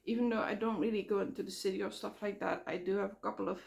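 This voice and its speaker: A woman vlogging outdoors by a road, talking frankly in a halting way while she comes down with a cold and her voice cracks. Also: mid-range voice, steady tone, creaky, a little mellow. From Netherlands. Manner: frank, halting, repeats words. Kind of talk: casual rambling vlog. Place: outdoors near traffic.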